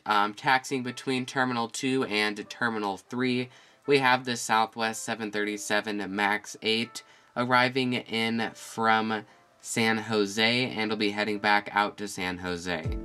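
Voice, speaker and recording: A man's voice: on the low side, fairly even intonation, unhurried, bright, forceful tone, clean tone. A man who is feeling a bit sick, enthusiastically narrating a walkthrough of his model airport and listing each aircraft. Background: guitar music.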